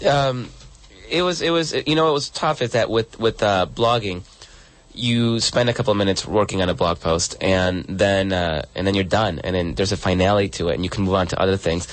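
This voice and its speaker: Earnest man with a low voice. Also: a little fast, no room sound, rough recording. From El Salvador. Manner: earnest, frequent restarts and repeated words. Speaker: guest author and blogger. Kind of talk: conversational interview answers.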